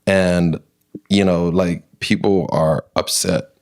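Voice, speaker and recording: Brief: male interview guest from New York, very low voice, chatty storyteller, loose with fillers. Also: takes it slowly, vocal fry. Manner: animated retelling, many fillers and restarts. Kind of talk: casual interview, personal storytelling.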